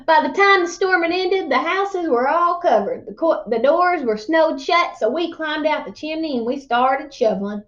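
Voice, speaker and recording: Woman acting boastful old man, folksy tall-tale teller, high voice, storybook read-aloud, muffled lo-fi sound. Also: lively intonation, moderate pace, hardly any pauses. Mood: wondrous, animated.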